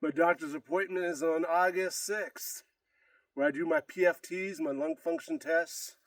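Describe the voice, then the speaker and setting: A man's voice: on the high side, moderate pace, no echo, far from the mic. A man with a congested, out-of-breath voice, rambling casually to camera between sets of a workout. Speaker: fitness vlogger. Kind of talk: casual unedited workout vlog. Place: gym.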